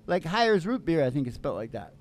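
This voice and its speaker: A man's voice: a little high, big pitch swings, fast talker. A man, co-hosting a comedy music podcast, tells stories in a folksy, meandering, absent-minded way and chats casually. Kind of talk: rambling comic storytelling, casual chat.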